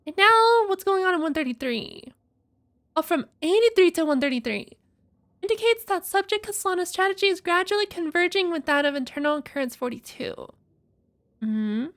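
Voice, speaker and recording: A woman game streamer giving casual reaction commentary, thinking out loud conversationally and sometimes reading text aloud. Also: very high-pitched, lively intonation, normal speed.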